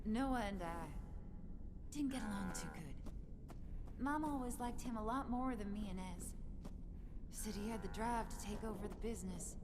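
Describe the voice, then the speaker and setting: A woman playing a sweet, hopeful young cattle hand in a video game, with a soft, folksy, earnest manner. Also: medium pitch, moderate pace, pauses often, natural voice. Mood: wistful, candid. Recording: loud vehicle and ambient game noise.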